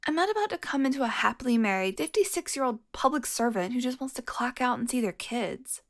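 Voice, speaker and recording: High-voiced teasing vampire woman, acted out by a woman. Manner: sardonic, teasing, breathy asides. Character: teasing, flirtatious female vampire, long-lived, foul-mouthed. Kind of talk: audio roleplay monologue to the listener.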